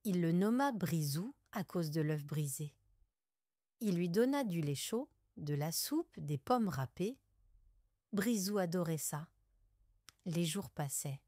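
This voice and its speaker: A woman's voice: on the low side, animated, takes it slowly, dark tone, no room sound, studio quality. A woman reading a scripted children's story as a storyteller.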